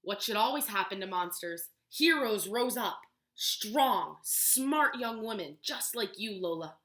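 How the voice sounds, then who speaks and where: Woman teacher, children's read-aloud, bright voice, expressive, echoey room. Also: medium pitch, measured pace. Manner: expressive, animated storytelling. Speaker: preschool teacher.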